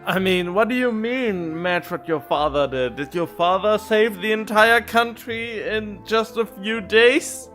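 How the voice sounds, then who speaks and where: Male game streamer, casual live commentary, fairly high voice, soft music in the background. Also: measured pace, few pauses. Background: soft guitar game music.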